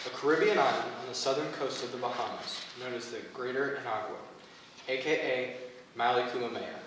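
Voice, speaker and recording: A man's voice: medium pitch, a bit bright. A man reading stage directions as scripted narration for a staged play reading. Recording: echoey room from far off, faint noise.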